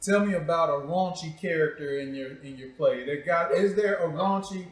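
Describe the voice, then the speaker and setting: High-voiced American man hosting in echoey room. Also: moderate pace. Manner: teasing, provocative, slangy. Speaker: show host interviewer. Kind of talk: casual, joking interview.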